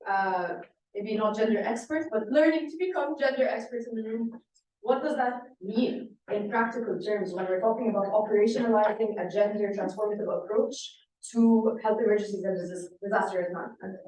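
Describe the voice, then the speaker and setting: A woman's voice: mid-range voice, a little fast, slight background noise. A woman in the audience projecting her voice to ask a question at a conference panel. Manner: loud, projecting voice. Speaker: audience member asking a question. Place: large conference room. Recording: echoey hall from far off.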